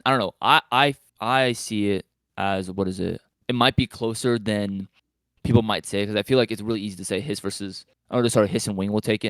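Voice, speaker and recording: A man's voice: lowish voice, no room sound. A man chatting casually as a panelist, tentative and rambling, often correcting himself mid-thought. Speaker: podcast panelist. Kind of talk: casual chat.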